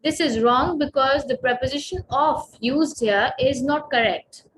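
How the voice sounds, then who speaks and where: A young woman with a Pakistani accent, a native Urdu speaker, giving a measured grammar presentation with frequent pauses. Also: sits high, slight background noise, poor audio. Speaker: student presenter.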